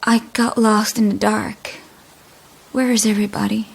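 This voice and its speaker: A woman playing a troubled, guilt-ridden young bride in an audio drama, intense and halting, often dropping to whispers. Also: mid-range voice, flat delivery. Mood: shaken, guarded. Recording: clear ambient sound behind voice.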